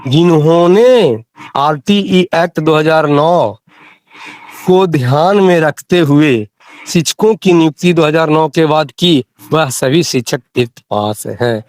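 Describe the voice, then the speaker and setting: Man, higher-pitched voice, dry sound, insistent explainer, phone discussion. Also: takes it slowly, a little mellow, no room sound. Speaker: interviewee explaining teacher rules. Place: recorded audio call.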